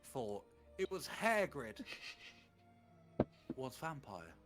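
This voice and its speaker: A man's voice: mid-range voice, sing-song, drawn-out words. A man doing a comedic dramatic reading of fan fiction on a livestream, theatrical and mock-serious. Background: faint music.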